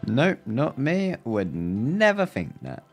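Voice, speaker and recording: A man's voice: medium pitch, wildly swooping pitch, slow, faint music behind. A man giving casual, offhand commentary on a game livestream, with muttered asides. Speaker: streamer.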